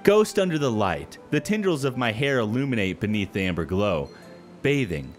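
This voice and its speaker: A man voicing a shy, gloomy teenage literature club girl with a deep, dark, sullen delivery and a bookish manner. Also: sing-song, faint music behind. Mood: somber. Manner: stammering when nervous, otherwise measured and bookish.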